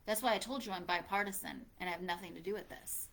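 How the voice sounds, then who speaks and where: A woman's voice: medium pitch, quick pace, a bit bright. A woman hosting a live audio room, speaking earnestly and quoting scripture in informal religious talk.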